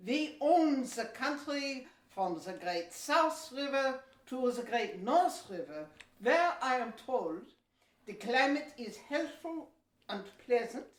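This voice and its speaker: A woman playing a courteous, persuasive male Dutch company agent in a historical audio play, speaking formally and deliberately. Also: high-pitched, sing-song, exaggerated voice. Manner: formal, deliberate, measured delivery. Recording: roomy echo, distant from mic.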